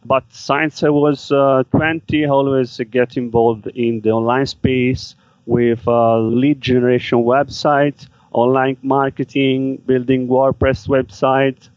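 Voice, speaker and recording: A man answering interview questions in halting second-language English. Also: medium pitch, level tone, darkish tone, no echo. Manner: halting non-native English with fillers. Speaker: guest, business seller. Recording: dry, dull remote call audio.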